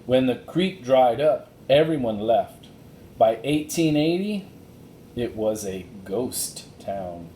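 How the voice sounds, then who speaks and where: A man reading a children's storybook aloud in a deliberate, expressive way. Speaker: reader of a children's chapter book. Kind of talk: scripted storybook narration, read aloud. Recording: roomy echo, faint noise and rumble.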